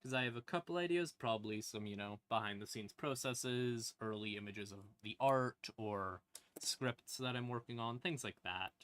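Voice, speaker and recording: A man's voice: medium pitch, moderate pace, fairly bright tone, no echo. A man hosting a casual livestream, talking informally in a rambling way with hesitant, trailing asides. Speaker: streamer hosting a lore question-and-answer stream. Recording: ambient horror music playing behind. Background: creepy ambient backing music.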